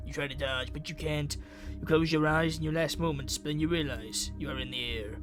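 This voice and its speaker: A man's voice: fairly high pitch, fairly even intonation, fairly quick, music in the background. A man reading a visual novel aloud for a gaming video in a dramatic, theatrical way. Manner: theatrical, acts out the dialogue.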